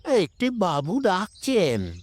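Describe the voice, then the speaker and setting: A man playing a simple household servant in an audio drama, with a drawn-out, singsong way of speaking. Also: sits high, wildly swooping pitch, full character voice. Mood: matter-of-fact. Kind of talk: Bengali audio drama acting.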